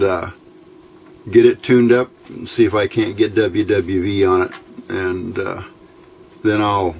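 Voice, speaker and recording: A man giving an informal, unscripted hands-on tutorial as host, explaining step by step in a halting, plain-spoken way. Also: lowish voice. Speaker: host of a DIY electronics tutorial channel. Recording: clearly noisy home recording.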